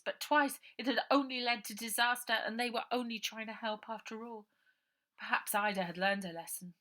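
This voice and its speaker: A woman narrating her own children's novel in an expressive, suspenseful way, often dropping to a breathy near-whisper. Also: medium pitch. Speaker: author reading own novel. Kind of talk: dramatic children's audiobook narration.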